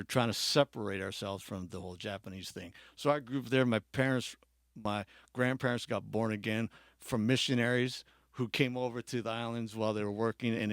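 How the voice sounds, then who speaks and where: A man's voice: on the low side, no room sound, studio quality. A man from Hawaii speaking as a podcast guest, telling his story in an informal, rambling, self-correcting way. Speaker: podcast guest, church worship director. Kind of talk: casual personal storytelling, chat.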